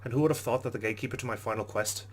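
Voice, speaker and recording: A man's voice: medium pitch, racing, slight background noise. A man hosting a let's play, reading a game's narration aloud and adding his own commentary.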